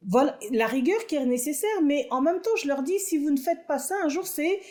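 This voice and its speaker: Mellow-voiced French woman, hesitant and earnest. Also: high voice, lively intonation, a little fast. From France. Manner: earnest, hesitant with many fillers. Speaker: aeronautics engineer and inventor. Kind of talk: interview guest answering at length.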